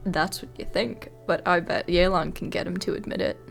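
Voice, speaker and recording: A woman's voice: lowish voice, steady tone, moderate pace, creaky. A woman giving casual, wry commentary as she plays through a video game, reacting jokingly to the story. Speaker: gaming video host. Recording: faint game music behind.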